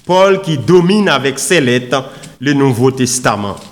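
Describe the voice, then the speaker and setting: A man preaching a sermon in an oratorical, emphatic manner, with deliberate pauses and repeated phrases. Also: mid-range voice, lively intonation. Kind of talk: sermon with scripture readings and rhetorical questions.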